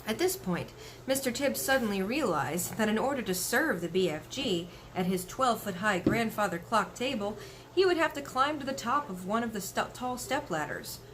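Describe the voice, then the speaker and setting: A woman reading a children's book aloud as an expressive storyteller. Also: medium pitch, normal speed, background noise. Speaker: story reader.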